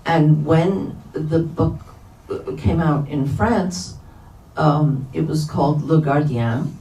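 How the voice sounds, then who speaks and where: An older woman author answering audience questions off the cuff, halting and thoughtful, often pausing mid-sentence. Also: sits low, mellow. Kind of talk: unscripted question-and-answer talk. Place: live event in a bookstore. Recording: echoey room, distant mic, background noise.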